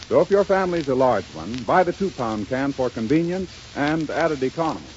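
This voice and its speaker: Animated male 1930s radio announcer. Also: mid-range voice, fairly quick, poor audio. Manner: polished, emphatic salesmanship.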